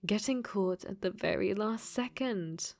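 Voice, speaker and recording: A woman voicing a clingy, possessive dating-sim AI in a robot body for an ASMR roleplay, sweetly menacing and breathy, often dropping to whispers. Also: medium pitch, unhurried, darkish tone. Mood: smug, playful. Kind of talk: ASMR audio roleplay acting.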